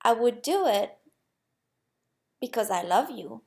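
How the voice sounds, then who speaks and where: A woman's voice: a little high, very animated, slow pace, mellow, heavily acted. A woman voicing a friendly, loving soul in a storybook, speaking in a reassuring and tender manner. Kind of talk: storybook character reading.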